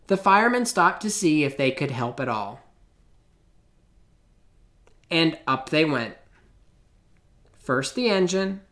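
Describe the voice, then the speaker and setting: A man reading a children's picture book aloud in an expressive, theatrical way, with deliberate pauses. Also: on the high side. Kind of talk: children's storybook read-aloud.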